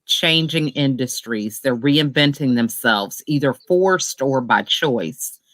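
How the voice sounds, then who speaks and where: Upbeat interviewer asking long questions, bright voice, dry close sound. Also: medium pitch, slow, dry recording. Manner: lively, encouraging, long questions. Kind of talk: casual interview.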